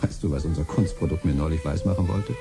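Deep-voiced, grumbling, frustrated father. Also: quick pace, music in the background. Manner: grumbling, insistent. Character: frustrated, pushy middle-aged father of a grown son. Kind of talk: 1970s German radio play acting.

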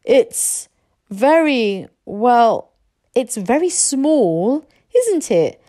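A woman's voice: medium pitch, big pitch swings, slow pace, no echo, studio quality. A woman voicing a pompous, blustering Viking chief and father in a storybook reading. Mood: anxious, hesitant. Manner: blustering, loud commands. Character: gruff, bellowing Viking chief father, pompous and easily impressed.

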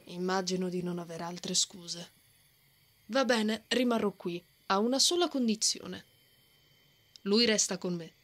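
A woman's voice: mid-range voice, unhurried, long pauses, a bit bright. A woman playing a brave, stubborn and protective female knight in a fantasy ASMR roleplay. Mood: stubborn, defensive. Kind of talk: ASMR romantic fantasy roleplay, acted monologue.

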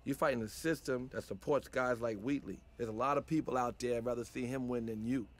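A man playing a calm, fatherly mentor. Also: mid-range voice, a little fast, close to an everyday voice. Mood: advising. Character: older male mentor, steady and wise. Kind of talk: video game cutscene acting.

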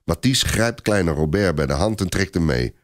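A male audiobook narrator with a fairly low voice reads a scripted tale dramatically. His pitch rises and falls widely, giving the narration an animated shape. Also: normal speed, no room sound, studio quality.

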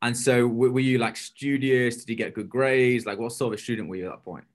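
A man in his thirties hosting a casual interview, asking questions in informal, halting phrases. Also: mid-range voice, fairly even intonation. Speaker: podcast host, interviewer. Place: indoor home room, video call.

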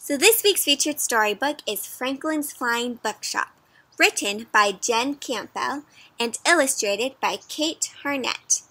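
Young woman reading a picture book to little kids, really high bright voice, sing-song, asks them lots of questions. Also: measured pace. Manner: animated, sing-song, addressing children with questions. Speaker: children's storybook reader and host. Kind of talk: interactive read-aloud for young children. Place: outdoors in a leafy yard.

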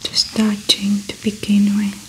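Young woman whispering slow and flat, like a doctor doing a calm exam, asmr vibe, faint hiss behind. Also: medium pitch. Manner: soft whispered clinical narration. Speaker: ASMR creator playing a doctor. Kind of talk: ASMR medical roleplay. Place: small indoor studio set.

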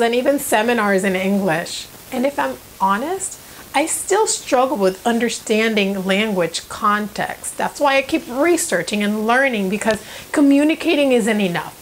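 A woman's voice: a little high, a little hiss or hum, rough recording. A young woman from Cuba and South Florida, a native Spanish speaker, telling a personal story to camera in an earnest, emphatic way. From Cuba, later South Florida. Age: young adult. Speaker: presenter of a personal video channel. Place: small furnished room indoors.

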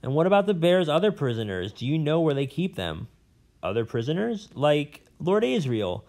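A man's voice: fairly high pitch, sing-song, moderate pace, a little mellow, pitched above the performer's own voice. A man voicing a young girl in an audiobook, earnest and questioning. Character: young girl, curious and determined.

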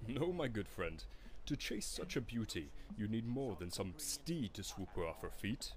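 An actor playing a vain, boastful fantasy warrior, speaking grandiosely and mockingly in game-style dialogue. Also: male voice, lowish voice, very animated, normal speed. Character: smug, vain fantasy warrior smitten with a maiden.

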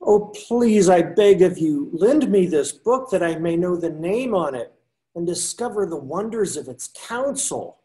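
Old guy reading a devout friar begging for a book, slowish, mellow tone. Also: high-pitched, lively intonation, poor audio. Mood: earnest. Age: older. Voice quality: earnest, pleading delivery. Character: pious younger male friar who loves books. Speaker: reader in readers theater. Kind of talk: amateur readers theater over video call. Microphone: video call webcam.

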